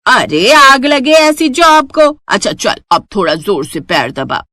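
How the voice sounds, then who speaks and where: A nagging older mother-in-law, acted out by a woman storyteller for a cartoon tale. She is imperious and melodramatic, with a higher-pitched voice and a dry, close sound. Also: sing-song, slow pace, sharp tone, dry recording, heavily acted. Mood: bossy, scolding.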